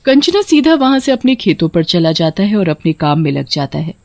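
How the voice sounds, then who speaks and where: A woman narrating a scripted horror story in a steady storytelling voice. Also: normal speed, vocal fry, no room sound, clean studio sound. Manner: steady storytelling, dropping lower for eerie moments.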